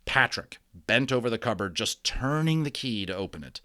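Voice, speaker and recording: A man's voice: medium pitch, animated, moderate pace, bright, forceful tone, no echo, clean studio sound. A man reading a children's novel aloud as a dramatic narrator, theatrical, with informal asides to his listeners. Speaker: storybook reader.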